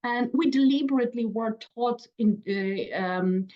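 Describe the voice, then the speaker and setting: Ukrainian woman, halting and reflective. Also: fairly high pitch, lo-fi sound. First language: Russian. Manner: reflective, halting with restarts and soft laughs. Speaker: museum speaker. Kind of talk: conference talk.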